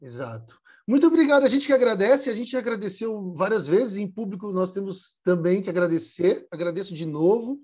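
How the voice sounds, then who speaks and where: A man's voice: high-pitched, brisk, few pauses, breathy edge. Joking man hosting over a muffled mic. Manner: joking, rambling, animated. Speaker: podcast host. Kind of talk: casual podcast hosting.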